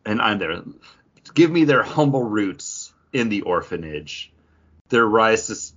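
A man's voice: lowish voice, very animated, measured pace, fairly bright tone, dull audio. A man co-hosting a podcast in casual, rambling pop-culture chat with some mild swearing. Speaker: podcast co-host.

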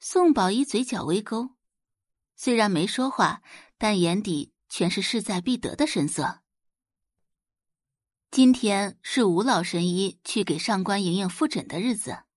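A woman's voice: medium pitch, lively intonation, a little slow, darkish tone, dry recording, clean studio sound. A woman reading scripted dramatic narration as an audiobook narrator.

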